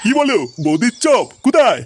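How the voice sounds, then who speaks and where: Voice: medium pitch, sing-song, a little fast, darkish tone, no echo. An actor voicing a querulous, curious old grandfather in a comedy cartoon, with a folksy, questioning manner. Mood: curious, eager.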